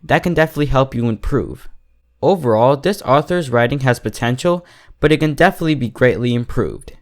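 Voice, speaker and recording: A man's voice: medium pitch, lively intonation, brisk. A man speaking as the host of an online fiction review channel, giving a scripted critique straight to his viewers.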